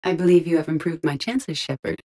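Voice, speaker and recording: An actress playing a calm, logical artificial intelligence in an android body in a video game, speaking formally and precisely with restrained emotion. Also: female voice, deep voice, fairly quick. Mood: calm, matter-of-fact. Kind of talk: scripted video game voice acting.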